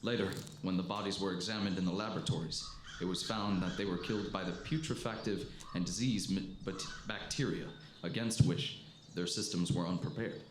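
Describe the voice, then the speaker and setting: A man acting a solemn, haunted professor who survived an invasion, narrating gravely and deliberately in a 1930s-style radio drama. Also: sits low, fairly even intonation, natural voice. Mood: awed. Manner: grave, deliberate, literary storytelling. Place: live performance before an audience in a hall. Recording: echoey live stage, faint noise.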